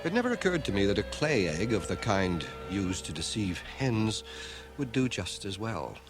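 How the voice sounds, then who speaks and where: A man's voice: lowish voice, sing-song. An actor playing a grown man recalling his boyhood with wry humor, as scripted radio drama narration. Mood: wry, reminiscent. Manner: wry, measured storytelling. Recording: old radio cassette with music underneath. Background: music.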